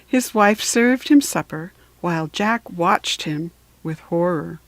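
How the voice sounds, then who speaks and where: A woman reading a children's story aloud for beginning readers, measured and deliberate, with storytelling emphasis. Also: fairly low pitch, lively intonation, no echo. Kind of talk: slow, careful read-aloud for beginning readers.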